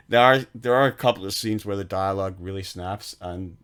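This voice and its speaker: A man's voice: sits low, moderate pace, a bit bright, clean tone. A man co-hosting a film podcast, retelling movie scenes in a rambling, casual way with many restarts and laughs. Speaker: podcast co-host.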